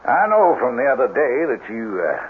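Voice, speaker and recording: An actor in a radio drama playing a folksy, friendly but firm old country storekeeper with a Southern US accent and hesitant banter. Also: male voice, mid-range voice, very animated, normal speed, close to an everyday voice. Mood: prying, concerned. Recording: tinny, hissy old radio broadcast recording.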